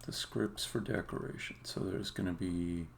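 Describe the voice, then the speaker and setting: Male game developer streamer, deep and heavily creaky, casual muttered think-aloud, hesitant phrasing. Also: a little hiss or hum. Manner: halting, muttering to himself while working. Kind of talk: casual think-aloud live-coding commentary.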